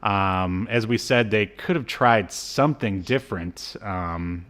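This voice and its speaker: A man co-hosting a podcast in casual review chat, with a halting, rambling delivery. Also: on the low side, a bit bright. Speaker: podcast co-host.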